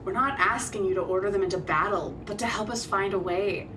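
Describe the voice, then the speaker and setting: A woman's voice: mid-range voice, brisk, distant mic, background noise, close to an everyday voice. A woman playing a blunt, caring ally in a tabletop roleplay, speaking expressively.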